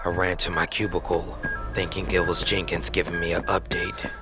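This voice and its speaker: A man's voice: low-pitched, little pitch movement, moderate pace, loud music behind the voice. A man reading scripted first-person horror narration as a podcast narrator. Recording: faint background noise.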